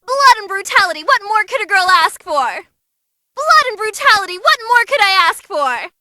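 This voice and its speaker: A young female ringside announcer from a fighting game. Her voice sits extremely high and very bright, swinging widely as she commentates. She is bubbly, theatrical and teasing, with an open, gleeful taste for brutality. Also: very animated, moderate pace, no echo, full character voice. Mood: gleeful, bloodthirsty.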